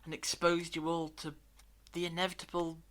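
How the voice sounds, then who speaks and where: An actor playing a knowing time traveller in an audio drama, speaking in a measured, grave, explanatory way. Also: mid-range voice, monotone, a bit bright, close to an everyday voice. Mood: measured, explaining.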